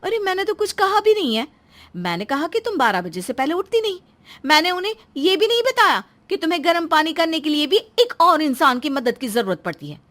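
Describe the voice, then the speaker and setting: A woman's voice: very high voice, very animated, a little fast, a bit bright, pitched above the performer's own voice, full character voice. A woman voicing an innocently tactless, cheeky young daughter-in-law in a cartoon moral story, chatty and outspoken. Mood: mock innocent.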